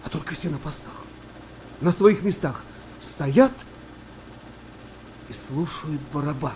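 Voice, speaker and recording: A man playing a skeptical, well-spoken wartime navy sailor telling tales with measured drama in a Russian radio play. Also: fairly high pitch, a little mellow, a little hiss or hum. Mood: suspenseful storytelling. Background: music behind the voice.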